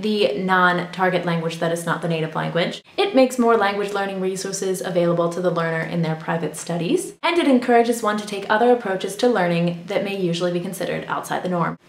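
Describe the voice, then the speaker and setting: Precise, formal woman vlogger. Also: medium pitch. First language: English. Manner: formal, precise, academic wording. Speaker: language-learning vlogger. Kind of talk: scripted explanatory talk to camera. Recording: echoey room.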